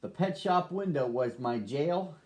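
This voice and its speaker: A lonely young dog tells his own storybook tale, voiced by a man. The delivery is slow and earnest, sitting a little high. It sounds like a picture book read aloud to children. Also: expressive, darkish tone. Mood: wistful. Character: sad lonely young male pet-shop dog.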